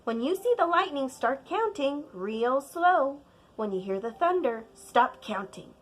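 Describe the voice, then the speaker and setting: A woman's voice: high-pitched, sing-song, measured pace, pitched above the performer's own voice. A woman voicing a folksy, reassuring old grandmother in a storybook, coaxing and giving firm instructions. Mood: instructive. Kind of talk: storybook character voice.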